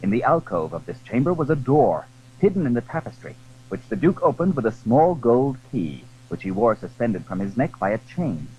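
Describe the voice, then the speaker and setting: A man narrating a dramatized period story in a formal, measured, expressive storytelling style. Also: medium pitch, slightly rough. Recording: dull, hissy old audio drama recording.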